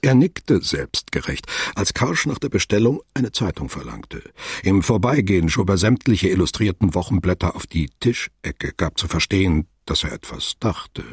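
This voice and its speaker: A man narrating a literary radio play as a sober, observant storyteller with a detached, deliberate delivery. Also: low-pitched, very animated, husky, dry recording, studio quality, full character voice.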